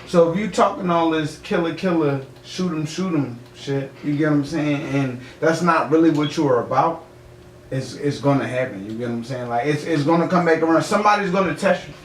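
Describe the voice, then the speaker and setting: An echoey, distant recording with faint noise carries a man's fairly high voice. He argues his points casually and emphatically, in slangy talk, repeatedly checking that he is understood. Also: a little fast. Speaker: podcast guest, singer and rapper. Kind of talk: casual podcast debate.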